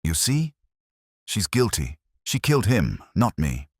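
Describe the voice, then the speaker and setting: A man's voice: lowish voice, big pitch swings, measured pace, a little mellow, slightly rough, dry recording, studio quality, pitched above the performer's own voice, full character voice. A man voicing a sneering, mocking male schemer who shifts blame, in an audiobook mystery.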